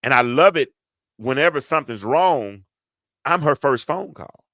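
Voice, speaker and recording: A middle-aged man hosting a talk show, telling a parenting story with a deep, stentorious voice and emphatic repetition. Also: animated, a little slow, bright, forceful tone, no echo, clean studio sound. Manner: emphatic, repeats words for effect, folksy asides. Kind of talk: casual storytelling to an audience.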